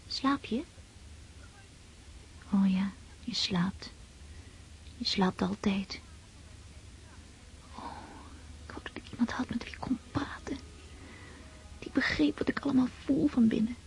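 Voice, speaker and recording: An actress playing a dreamy, vain and impulsive teenage daughter of an aristocratic family in a radio drama. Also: female voice, a little high, slow, vocal fry, long pauses, darkish tone, whispered, poor audio. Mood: hushed, dreamy. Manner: girlish, whimsical, impulsive.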